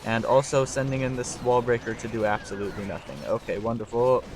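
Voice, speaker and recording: A slightly hoarse young man giving live commentary on a strategy game, talking through his thinking and getting excited on big plays. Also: medium pitch, quick pace, noisy background. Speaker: gaming channel host.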